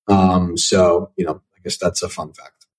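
Young male investor guest, low-ish voice, reflective, restarts sentences often. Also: level tone, unhurried, darkish tone, rough recording. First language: Italian. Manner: thoughtful, repeats words when starting sentences. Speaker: venture capital investor, guest. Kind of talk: podcast interview answers.

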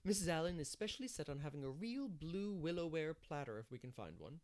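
A man's voice: a little high, sing-song, brisk, a little mellow, voice pushed up. A man voicing a bustling, opinionated village matron in a storybook, chatty and sure of herself.

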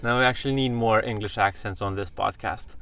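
A man hosting a casual podcast, who makes deadpan jokes and speaks with halting phrasing. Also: fairly low pitch, gravelly. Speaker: podcast host. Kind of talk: casual chat.

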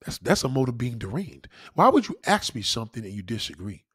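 Blunt, husky-voiced man lecturing. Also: medium pitch, big pitch swings, fairly quick, a bit bright, no room sound, studio quality. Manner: emphatic, repeats himself for stress. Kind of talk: casual opinion monologue.

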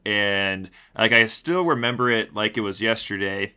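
A man's voice: on the low side, normal speed, pushed and bright, clear, resonant tone. A man raised in Texas co-hosting a casual podcast, telling stories bluntly and swearing freely. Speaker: podcast co-host. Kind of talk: casual podcast chat, storytelling. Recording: lo-fi muffled audio.

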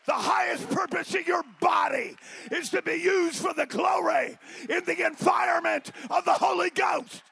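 A pastor preaching a fiery Pentecostal sermon in a church, shouting as he urges the congregation to praise. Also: very high voice, fairly even intonation, moderate pace, vocal fry, a faint crowd, slight background noise. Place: church sanctuary.

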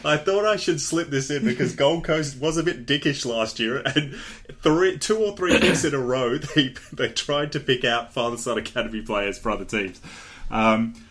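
Young Australian male podcast host, average pitch, casual chatty delivery with fillers. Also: moderate pace, a bit bright. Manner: chatty, laughs often, many fillers. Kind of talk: casual chat, draft analysis.

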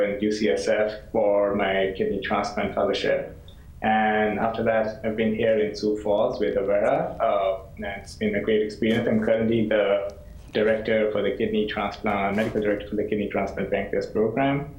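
A man's voice: lowish voice, darkish tone, highs cut off. A middle-aged man from Pakistan, a transplant physician, explaining loosely over a video call. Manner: loose, explanatory, frequent fillers. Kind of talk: answering interview questions. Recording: roomy video call sound.

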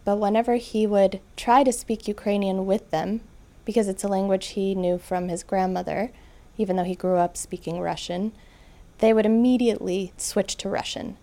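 A woman interviewer asking measured questions and narrating between answers. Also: mid-range voice, steady tone, warm tone.

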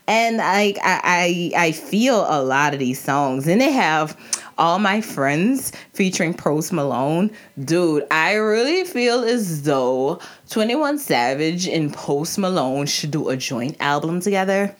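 Woman podcast host, New Orleans accent, lowish voice with lively swings, casual slangy album review. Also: takes it slowly. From New Orleans area. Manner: chatty and animated, frequent restarts and slang.

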